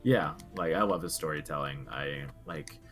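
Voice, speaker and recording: A young man with a very low, deep voice speaks as a podcast co-host. His delivery is casual, earnest and reflective, and music plays underneath him. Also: moderate pace, fairly bright tone. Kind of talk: casual video game discussion.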